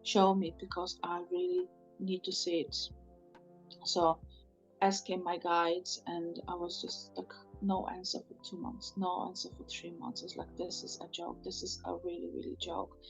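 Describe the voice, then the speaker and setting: A woman's voice: on the low side, moderate pace, vocal fry, faint music behind, rough recording. A woman guest on a podcast, a spiritual healer telling a personal story in non-native English, rambling and often quoting herself. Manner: rambling, quotes herself with "it's like".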